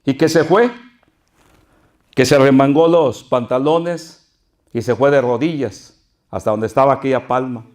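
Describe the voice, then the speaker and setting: A man's voice: medium pitch, measured pace, pauses often, a little mellow. An older man with a northern Mexican accent, a pastor preaching and telling a folksy personal story with chuckles. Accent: Mexican Spanish, northern.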